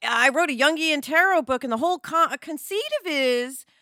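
Middle-aged woman panelist, high and bright, blunt and opinionated in casual conversation. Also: fairly quick, no room sound, clean studio sound. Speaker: podcast panelist. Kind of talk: animated casual discussion.

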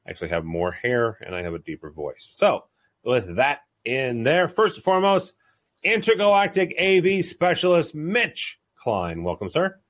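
A man's voice: medium pitch, sing-song, moderate pace. A man hosting a panel podcast, making jokey, upbeat introductions and reading out news items. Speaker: podcast host.

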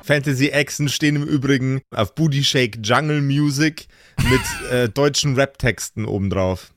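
A man's voice: medium pitch, moderate pace, dry recording, studio quality. A man narrating an improvised tabletop fantasy game as game master, in a casual, joking way. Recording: background music under voice.

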